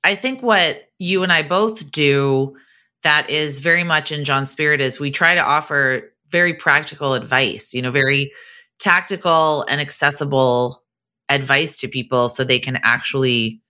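American woman, podcast host, low register with bright, crisp projection; upbeat, encouraging delivery. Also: moderate pace.

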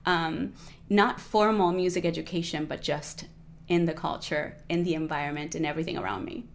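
Colombian woman in her 40s, Spanish-native, animated chatty guest, slightly low pitch. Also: normal speed. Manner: animated, lots of fillers like "you know". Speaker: guest musician. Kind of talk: casual interview conversation.

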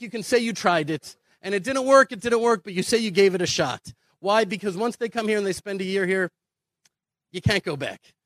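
American male advocate, high voice, dry close sound; impassioned, emphatic public speaking. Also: fairly bright tone, no echo. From Houston, Texas, United States. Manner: earnest, emphatic, repeats phrases, rhetorical questions. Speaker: speaker advocating immigration to Israel. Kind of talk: impassioned conference speech.

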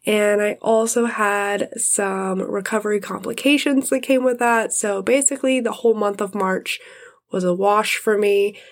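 A woman's voice: medium pitch, normal speed. A woman in her thirties hosting her podcast in casual, friendly chat, her voice congested from illness. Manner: friendly, chatty. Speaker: podcast host.

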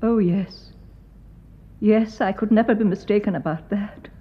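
An actress playing a dignified, distressed widow in a radio drama, hushed and hesitant with a refined English accent. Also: female voice, medium pitch, unhurried, breathy edge, highs cut off. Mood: shaken, grieving. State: distressed, near tears.

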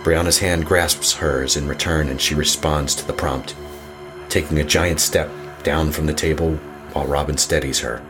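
A man narrating a scripted horror story in a measured, suspenseful manner. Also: very deep voice, steady tone, over music.

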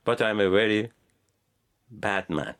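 A middle-aged man, a Farsi speaker, telling his own story in a halting way with many fillers and restarts. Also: on the low side, steady tone, a bit bright. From Iran. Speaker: pastor and teacher, guest.

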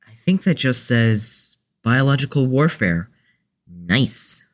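Man acting a mild, easygoing man, middle pitch. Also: sing-song, slow. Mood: hesitant. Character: easygoing, nostalgic man, mild peacemaker of the group. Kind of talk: audio drama acting.

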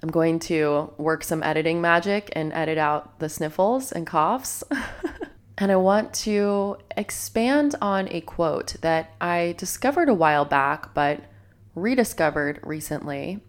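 Young female podcast host, a little congested from a cold, earnest and reflective, lower register. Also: measured pace, clean tone. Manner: earnest, self-help tone. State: congested, slightly nasal from a cold. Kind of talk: reflective solo podcast talk.

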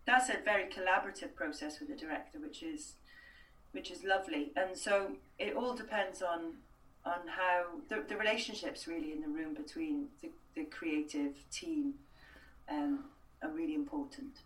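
A woman's voice: low voice, fairly even intonation, moderate pace, a bit bright. Chatty woman voice coach, roomy distant sound. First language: Welsh. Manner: chatty, hesitant, many restarts and fillers. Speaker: voice and accent coach. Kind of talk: relaxed interview answers.

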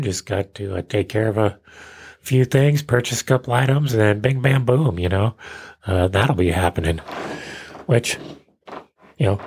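A man of fifty hosting a casual, rambling solo show in a self-deprecating, joking way, with a husky voice. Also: fairly low pitch, darkish tone. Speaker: podcast host.

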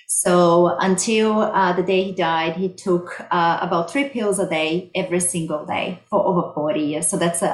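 A middle-aged woman from Brazil with a Brazilian accent, explaining her research plainly as an interview guest. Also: lowish voice. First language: Portuguese. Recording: roomy, echoey sound.

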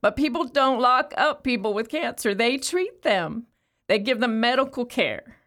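An actress playing a numb, bereaved, incarcerated mother in an audio play monologue, speaking plainly and haltingly. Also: fairly high pitch, animated, a bit bright. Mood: bitter.

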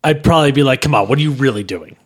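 A man's voice: medium pitch, lively intonation, racing. A man co-hosting a podcast in casual, comedic banter. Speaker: podcast co-host.

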